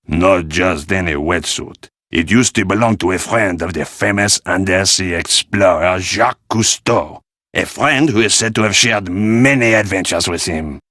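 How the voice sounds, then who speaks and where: Deep-voiced French market vendor. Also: male voice, moderate pace, a bit bright, no room sound, clean studio sound. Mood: persuasive, polite. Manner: courteous, formal salesman's patter. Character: polite, insistent Parisian male flea-market vendor. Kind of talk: video game character dialogue.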